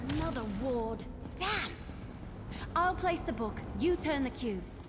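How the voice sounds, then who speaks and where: A woman's voice: high-pitched, lively intonation, slow pace, noisy background. A woman voicing an eager, plucky young adventurer in a video game, chatty and wry, with exclamations. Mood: focused, puzzled. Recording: loud game ambience behind the voice. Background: loud game ambience.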